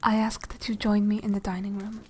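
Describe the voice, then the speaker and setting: A woman playing a polite, secretive young noble vampire lady in a soft, half-whispered ASMR roleplay, speaking formally and with measured care. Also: medium pitch, level tone, close to an everyday voice. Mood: courteous, reserved. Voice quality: soft, breathy whispering edge. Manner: hushed, formal, measured, genteel.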